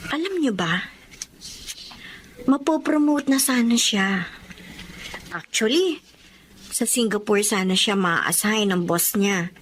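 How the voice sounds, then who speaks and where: A woman's voice: medium pitch, slow pace, pauses often. A woman playing a caring, exasperated young career woman in a radio drama, with a Filipino accent and an earnest, emotional delivery. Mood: pleading, protective. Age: young adult.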